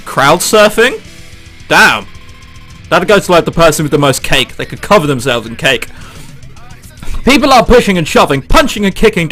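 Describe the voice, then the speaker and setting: A man with a high, bright voice hosts a let's play, reading game text aloud and joking as he goes. His delivery is animated and expressive, and music plays behind him. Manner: animated, joking, frequent swearing and exclamations. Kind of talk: casual game commentary mixed with reading visual novel text aloud.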